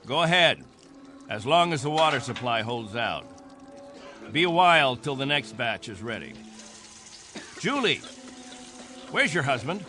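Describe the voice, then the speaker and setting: A man acting in a radio drama as a stern, commanding captain, speaking with measured, sardonic authority. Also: medium pitch, sing-song, sharp tone, a little hiss or hum. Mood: brisk, commanding. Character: stern, commanding male captain of a stranded settlement.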